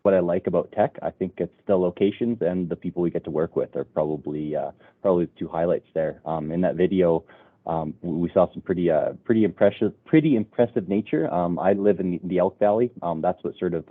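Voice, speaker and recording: A man's voice: low-pitched, fairly quick, no echo, phone-quality sound. A man casually introducing his automation job on a webinar, upbeat with many fillers, heard over web call audio. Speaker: automation specialist.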